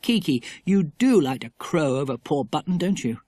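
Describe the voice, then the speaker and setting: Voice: mid-range voice, very animated, moderate pace, darkish tone, dry recording. A storyteller voicing Dinah, a sensible but nervous girl who pleads anxiously. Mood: pleading.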